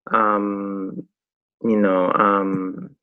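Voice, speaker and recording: A man in his thirties with a Greek accent, a native Greek speaker, chatting casually as an interview guest and often pausing to search for words. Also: on the low side, level tone, clean tone, phone-quality sound. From Greece. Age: 30s. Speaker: guest, graffiti artist.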